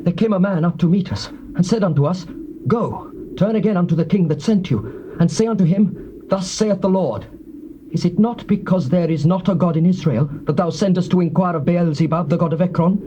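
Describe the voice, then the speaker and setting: A man's voice: sits high, a little fast, dark tone, natural voice. An actor playing a male royal messenger who earnestly reports a prophet's warning in a dramatized scripture recording. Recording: dull tape with faint hiss.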